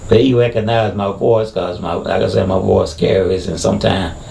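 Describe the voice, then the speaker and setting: A middle-aged man, a former deputy, with a very deep, heavy voice, giving casual video commentary in halting speech full of fillers and restarts. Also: steady tone, creaky, warm tone, echoey room, recorded from a distance. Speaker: former deputy, channel host.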